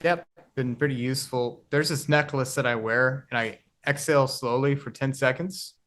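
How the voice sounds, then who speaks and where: Male guest, 20s, reflective and halting, plain mid-pitched voice. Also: fairly even intonation, a bit bright, slight background noise. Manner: reflective, halting, searching for words. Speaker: podcast guest. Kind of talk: casual conversation.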